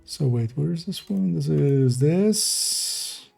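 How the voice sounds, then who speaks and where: Young dutch guy on a stream, gravelly low-key voice, just musing out loud as he clicks through stuff. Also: takes it slowly, soft background music. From Netherlands. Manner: thinking aloud, relaxed and meandering. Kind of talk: casual live-stream commentary.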